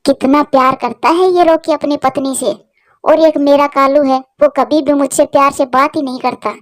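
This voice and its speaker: A woman's voice: high-pitched, steady tone, normal speed, no room sound, clean studio sound, close to an everyday voice. A woman voicing a blunt, meddling neighbour bird in a cartoon story, talking insistently. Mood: envious. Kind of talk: Hindi cartoon story dialogue.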